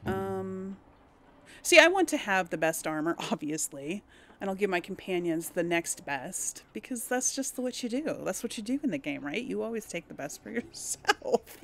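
Slow, creaky-voiced woman musing over a game. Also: medium pitch, slight background noise. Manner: hesitant, musing, talks to herself. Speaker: gaming host. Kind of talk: casual let's play commentary, thinking aloud.

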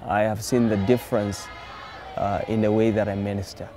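A man who ministers as a pastor, answering interview questions outdoors in a steady, reflective way. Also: fairly low pitch, normal speed. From Zambia. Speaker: pastor and student. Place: outdoors by a wall. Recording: clear music underneath.

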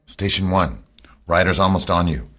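A stern captain played by a man commands a covert team in a radio drama. His voice is extremely deep and rough-edged. He speaks in terse, firm orders. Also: lively intonation, moderate pace, fairly bright tone. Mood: firm, commanding.

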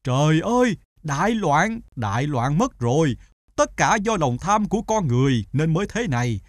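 A man voicing a weary poor peasant in an animated folktale, exclaiming and complaining. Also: a little high, moderate pace. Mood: lamenting. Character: poor, weary thin peasant man, prone to amazement and lament.

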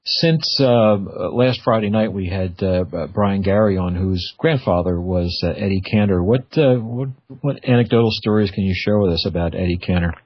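A man's voice: low voice, normal speed, few pauses, slightly rough. A man hosting a casual radio interview in an informal, conversational manner. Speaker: radio host. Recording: narrowband phone-like radio sound.

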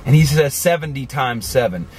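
A man in his forties talking casually to the camera from inside a car, animated and chatty, with many asides. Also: mid-range voice, normal speed, slight background noise. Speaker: vlogger. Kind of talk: casual motivational talk to camera. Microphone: camera on the dashboard.

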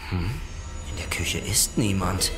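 A man's voice: deep voice, takes it slowly, over music. An actor playing a jumpy male glaciologist who mutters to himself in an audio drama. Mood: muttering, puzzled. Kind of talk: German audio drama acting.

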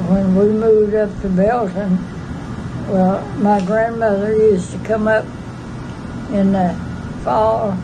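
Slow-talking Texan woman in her nineties. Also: mid-range voice, flat delivery, noisy background. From Texas. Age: 90s. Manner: plainspoken, laughs often. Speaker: interviewee. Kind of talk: casual reminiscing. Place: outdoor covered patio.

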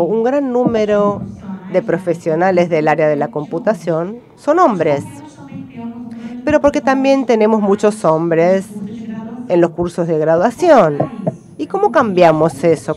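A woman giving simultaneous interpretation at a conference, rendering the speech phrase by phrase with some halting. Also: fairly low pitch, animated, crowd noise.

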